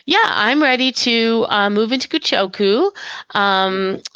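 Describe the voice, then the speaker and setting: A woman's voice: fairly high pitch, lively intonation, unhurried, a bit bright, clear, resonant tone, no echo, dull audio. A woman hosting a livestream in casual chat. She talks in a chatty, enthusiastic way. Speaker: livestream host.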